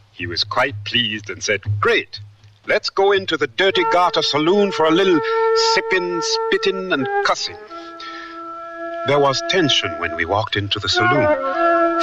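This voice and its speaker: A man's voice: on the high side, recorded from a distance. An actor playing a polite, naive young man from Liberia out West in a radio drama, speaking in formal, careful, hesitant phrases. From Liberia, as the character. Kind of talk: radio drama acting and letter narration.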